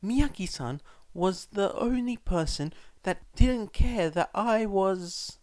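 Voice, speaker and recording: Storybook schoolboy, played by a man: timid, apologetic, raised pitch, hesitant stammering delivery. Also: animated, takes it slowly, darkish tone, no echo. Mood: ashamed, hesitant. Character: shy, nervous middle-school boy, earnest and apologetic. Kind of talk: audiobook character voice.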